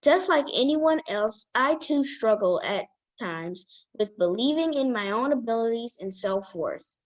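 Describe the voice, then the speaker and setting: A woman reading a children's self-help book aloud as a guest reader, in a careful, halting manner. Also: a little high, animated.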